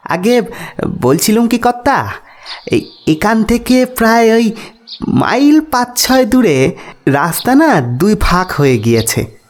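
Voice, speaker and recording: Man doing a hesitant, high-pitched village postmaster. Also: very animated, full character voice. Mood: cautious, advising. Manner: halting, folksy, cautious. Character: deferential, hesitant village postmaster warning a traveler. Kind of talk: audio storybook character acting.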